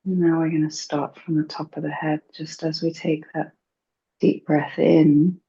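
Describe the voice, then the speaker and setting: A woman leading a guided meditation in a gentle, hushed, soothing and unhurried way. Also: sits low, little pitch movement, slight background noise. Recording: dull, muffled lo-fi recording.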